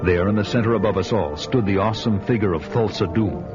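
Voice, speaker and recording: Vengeful barbarian hero, voiced by a man, grim measured narration, low-ish, lo-fi with score behind. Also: natural voice. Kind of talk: first-person radio drama narration. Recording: dull lo-fi audio with music behind.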